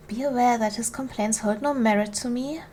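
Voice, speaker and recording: A woman playing a gracious, commanding duchess in an ASMR roleplay, speaking in a formal, measured, soothing way to a servant she protects. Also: fairly high pitch, darkish tone, slight background noise, lightly acted. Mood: gentle, reassuring. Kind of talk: ASMR roleplay, soft formal period speech.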